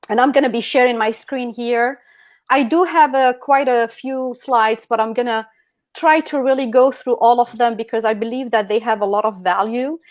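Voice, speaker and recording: A woman's voice: on the high side, dry recording. A middle-aged woman from Algeria speaking as a guest presenter in a motivational presentation. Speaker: guest speaker at an online e-commerce summit. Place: indoors on an online video call.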